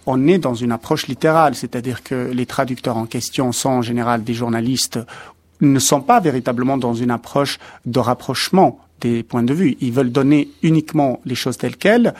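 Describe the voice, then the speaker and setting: A man in a studio interview, explaining carefully like an academic. He talks in a measured way, often hesitating and restarting a phrase before finding the right word. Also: mid-range voice. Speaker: expert guest on translation.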